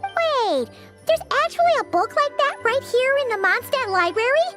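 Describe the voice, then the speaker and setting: A woman voicing a tiny, childlike, excitable fairy companion in a video game, chirpy and squeaky with exaggerated delivery. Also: moderate pace, loud music behind the voice. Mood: puzzled. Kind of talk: scripted video game dialogue.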